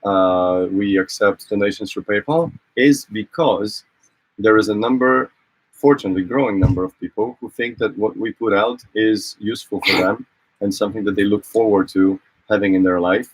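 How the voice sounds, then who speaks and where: A man whose first language is Polish, co-hosting a casual livestream from a boat, talking in halting phrases full of fillers and restarts. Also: lowish voice, breathy edge, no echo, low-quality recording. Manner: hesitant, many fillers and restarts. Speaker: co-host of a sailing channel livestream.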